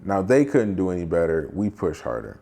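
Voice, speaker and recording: A man's voice: low voice, very animated, a little fast, vocal fry, warm tone. An American man working as a due diligence advisor, explaining a case in an emphatic, colloquial storytelling style. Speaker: due diligence advisor, quality of earnings provider. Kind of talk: explanatory storytelling to camera with acted-out dialogue.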